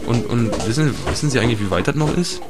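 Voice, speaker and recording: A naive, chatty tourist, acted by a man, speaks at a middling pitch. He is hesitant and repeats himself, with little tag questions, over clear background noise with birds and clicks. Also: expressive, quick pace, natural voice. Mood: polite, puzzled. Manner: hesitant, repetitive, keeps adding tag questions. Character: chatty, naive male tourist, placidly captured. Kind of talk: improvised absurd comedy audio play.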